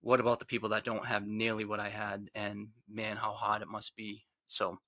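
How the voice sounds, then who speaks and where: A man's voice: lowish voice, completely flat delivery, moderate pace, no echo. A man in his forties with a Rhode Island accent, earnestly telling his personal story as a podcast guest. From Rhode Island, USA. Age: 40s. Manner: earnest, reflective, trails off quietly.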